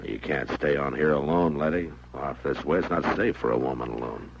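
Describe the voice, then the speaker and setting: Steady frontier marshal, american, really low voice, old radio western, noisy muffled recording. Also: male voice, brisk, vocal fry. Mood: calm, concerned. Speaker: actor in a radio drama.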